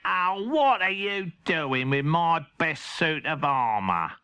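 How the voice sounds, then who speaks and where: A fretful would-be knight of a cat played by a male storyteller, speaking slowly in a drawn-out, complaining way. The voice is fairly high for a male and belongs to a children's storybook. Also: sing-song, heavy background noise. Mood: dismayed. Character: fretful, slow-spoken male cat who fancies himself a knight.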